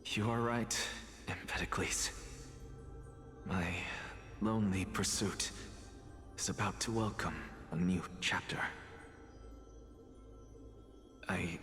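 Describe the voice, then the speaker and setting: A man playing an arrogant, defiant scholar in a video game, speaking in a deliberate, theatrical and pompous way. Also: deep voice, a bit bright, faint music behind. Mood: solemn, resolute. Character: arrogant, paranoid male scholar, honest, theatrical, defiant loner. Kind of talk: dramatic video game voice acting.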